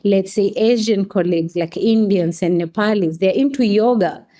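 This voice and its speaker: A woman's voice: medium pitch, normal speed, dark tone. A woman from Kenya with a Kenyan accent, explaining things articulately in a conversational interview as a guest. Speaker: podcast guest, coffee company staff member.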